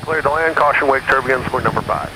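A man's voice: medium pitch, fast talker. A man, a pilot, giving terse readbacks over aviation radio. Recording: very noisy aircraft radio transmission.

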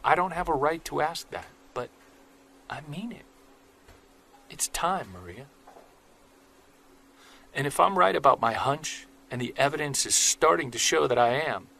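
An earnest Bigfoot investigator, played by a man, speaking softly and confessionally in a gravelly voice. Faint ambience hums behind him throughout. It is an audio drama performance. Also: fairly high pitch, a little hiss or hum, full character voice. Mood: pleading. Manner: earnest, soft-spoken, confessional.

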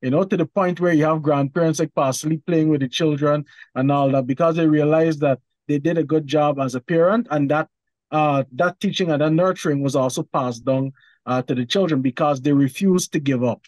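A man's voice: fairly high pitch, fairly quick, darkish tone, dry recording. A man, speaking earnestly as a panelist on a video call. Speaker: talk show panelist. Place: home study with bookshelves.

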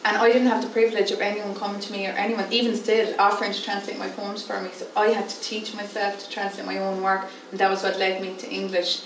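A woman's voice: medium pitch, level tone, fast talker, few pauses. A young Irish woman poet speaks candidly and thinks aloud, her words echoing around a theatre hall. She is picked up by a distant camera mic, with a faint hum of room ambience underneath. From Ireland. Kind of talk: panel Q&A answers. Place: stage in a dim theatre hall. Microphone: far camera. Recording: roomy hall sound from far off.

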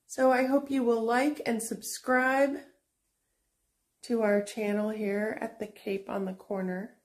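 Slow, chatty woman vlogger. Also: medium pitch, a little mellow. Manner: chatty, unhurried, with frequent fillers and restarts. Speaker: antique shop owner and channel host. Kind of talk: casual vlog walkthrough. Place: indoor antique shop booth.

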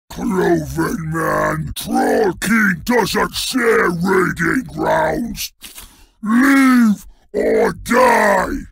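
A huge, brutish troll king from a fantasy game, roars his boasts and threats. The voice is thick with gravel and swings wildly in pitch. He drags out his blunt, broken sentences very slowly, always sounding hungry for a fight or a meal. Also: a bit bright, no room sound, clean studio sound, full character voice. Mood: aggressive, roaring. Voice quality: gravelly, growling. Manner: bellowing in broken, blunt speech. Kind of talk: fantasy video game villain voice lines.

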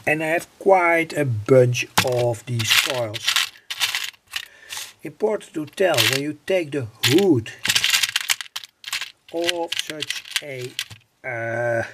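A man explaining a homemade electronic circuit informally, in a halting, deliberate way with pauses. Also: medium pitch, animated, very noisy. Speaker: electronics hobbyist presenter.

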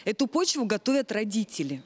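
A middle-aged woman with a medium-pitched voice states her views firmly and with thought. She is a parent guest in a studio discussion, on a handheld mic. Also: very animated, fairly quick, husky. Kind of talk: firm, considered opinion in a studio discussion. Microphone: handheld microphone held by the host.

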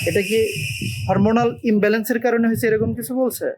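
A man's voice: sits high, flat delivery, fast, very noisy. A man with a Bangladeshi Bengali accent hosting an on-location interview, asking informal, probing questions. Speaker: interviewer and channel host. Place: on location in a village. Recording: crowd chatter behind the voice.

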